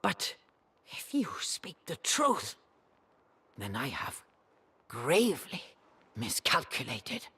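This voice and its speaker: Raspy, haughty old sorceress crone. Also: female voice, low voice, wildly swooping pitch, bright, forceful tone, full character voice. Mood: shaken, alarmed. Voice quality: raspy, husky. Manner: theatrical, deliberate, cackling. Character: centuries-old reclusive sorceress crone, haughty, sly and brooding. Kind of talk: dramatic video game acting. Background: background music.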